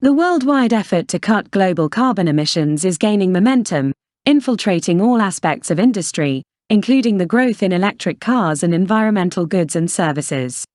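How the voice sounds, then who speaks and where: A woman reading a scripted passage aloud with a formal, measured delivery. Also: mid-range voice, animated, a little mellow, no room sound, clean studio sound. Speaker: read-aloud model reader.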